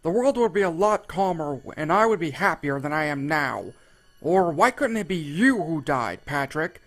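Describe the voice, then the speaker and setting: A man voicing a bitter, grumpy adult male squid neighbor who yells and sneers. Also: a little high, big pitch swings, racing, pitched above the performer's own voice. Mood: yelling. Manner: yelling, sneering.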